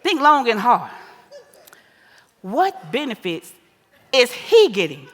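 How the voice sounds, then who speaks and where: Echoey, high, sassy older mama played by an actress. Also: female voice, sing-song, exaggerated voice. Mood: admonishing. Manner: sassy, preachy, exclamatory, drawn-out emphasis. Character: bawdy, boisterous older mother lovingly schooling her grown daughter. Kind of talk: broad comedic stage play acting. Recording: echoey theater hall with long reverb.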